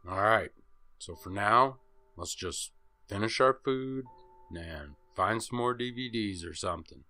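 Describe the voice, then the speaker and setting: A man's voice: lowish voice, measured pace, sharp tone, faint music behind. A man acting a blunt, foul-mouthed young peacemaker in a horror audio story. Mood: firm, pleading.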